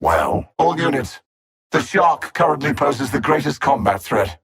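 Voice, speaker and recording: Haughty, swooping British alien supervillain. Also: male voice, on the high side, big pitch swings, a bit bright, slightly rough, heavily acted. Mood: menacing. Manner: pompous, sardonic, deliberate diction. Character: haughty alien supervillain machine intellect, coldly superior, mocking. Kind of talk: theatrical video game villain voice lines.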